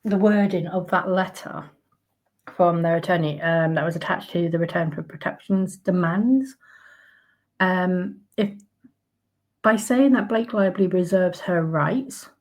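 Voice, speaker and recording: A woman with a croaky voice, ranting in casual commentary with outraged sarcasm and plenty of fillers and restarts. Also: on the low side, measured pace. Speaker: commentary vlogger.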